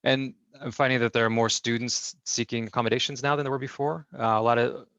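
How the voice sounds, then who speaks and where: A man working as a sign language interpreter, voicing a deaf guest's answers in the first person in a measured way, with frequent fillers and restarts, heard over a video call. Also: mid-range voice, fairly even intonation, a bit bright, no room sound.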